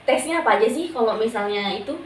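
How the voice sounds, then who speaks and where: A woman's voice: fairly high pitch, animated, fast talker. A young woman hosting a casual interview podcast, chatty and often repeating her guest's answers back to him. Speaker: podcast host. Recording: echoey room, distant mic, faint noise.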